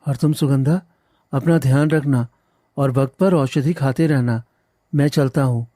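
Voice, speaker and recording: A man playing a devoted, trusting young village husband in a cartoon story, earnest and gentle. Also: mid-range voice, measured pace, no echo, close to an everyday voice. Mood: reluctant, trusting. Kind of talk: cartoon moral story acting.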